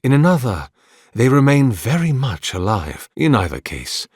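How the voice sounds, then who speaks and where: An actor playing an ancient, devout priest in a video game, speaking with weary, formal, melancholy courtesy. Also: male voice, medium pitch, sing-song, breathy edge, no room sound, studio quality. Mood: coolly evasive. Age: older. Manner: formal, measured, eloquent, solemn. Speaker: priest of a temple relic. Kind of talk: scripted video game dialogue.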